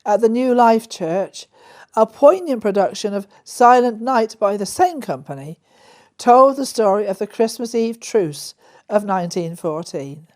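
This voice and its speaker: A woman's voice: medium pitch, lively intonation, measured pace, darkish tone. A woman reading scripted narration for a local documentary.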